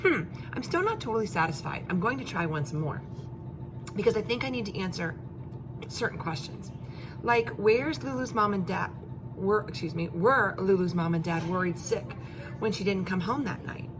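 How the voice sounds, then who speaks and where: A woman teacher reading a children's story aloud, expressively, with drawn-out emphasis and questions and asides to her young pupils. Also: mid-range voice, lively intonation, background noise. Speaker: elementary school teacher.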